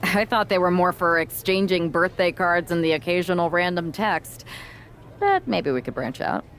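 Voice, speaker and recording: A woman's voice: fairly low pitch, animated, normal speed, a bit bright. A woman playing a loyal female MMA fighter in a video game, speaking casually with dry, teasing humor. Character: wry, loyal female MMA fighter, lifelong best friend. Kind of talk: cinematic game dialogue, casual.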